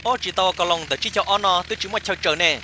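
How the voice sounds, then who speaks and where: A man's voice: high-pitched, fast talker, over music. A man performing casual, chatty comic dialogue for a cartoon.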